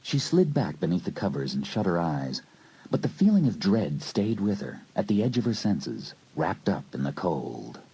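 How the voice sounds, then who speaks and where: A man's voice: low-pitched, sing-song, moderate pace, gravelly, warm tone, husky, poor audio. A man narrating a scripted suspense story as an audiobook narrator.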